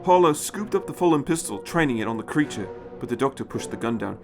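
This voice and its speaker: A man's voice: medium pitch, animated, fast, music in the background. A man giving a dramatic scripted narration of a story as its narrator.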